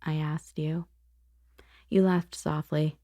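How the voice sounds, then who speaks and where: A woman playing a controlled, powerful boss torn by forbidden attraction, in an intimate ASMR roleplay, speaking softly and deliberately with lingering pauses, often dropping to a whisper. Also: low voice, little pitch movement, no echo, studio quality. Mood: hushed, seductive. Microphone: close, dry microphone.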